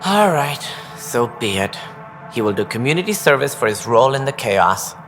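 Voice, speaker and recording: An actor playing an earnest male colony director in a video game, speaking deliberately about hard decisions. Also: mid-range voice, sing-song, in a large hall, over music.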